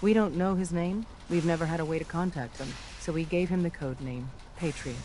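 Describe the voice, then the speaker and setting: Man acting a high-voiced, earnest resistance leader. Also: fairly quick, a little mellow, slight background noise, close to an everyday voice. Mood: grave, confiding. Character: earnest, secretive male resistance leader briefing an agent. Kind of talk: video game dialogue.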